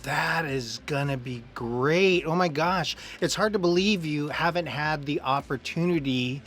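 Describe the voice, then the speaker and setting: Enthusiastic male interviewer, slightly high voice. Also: a little slow, fairly bright tone. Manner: enthusiastic, effusive. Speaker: interviewer and fellow actor. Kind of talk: casual promotional interview.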